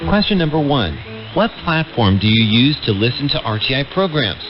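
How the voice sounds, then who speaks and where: A man's voice: medium pitch, lively intonation, moderate pace. A man announcing a scripted station promo on shortwave radio. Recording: dull shortwave radio broadcast. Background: music.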